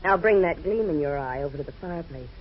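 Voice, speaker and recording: An actress playing a scheming murderous wife in a 1940s radio drama, cool and cutting. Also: female voice, low-pitched, animated, fast talker. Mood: sultry. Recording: dull old radio broadcast with faint hiss.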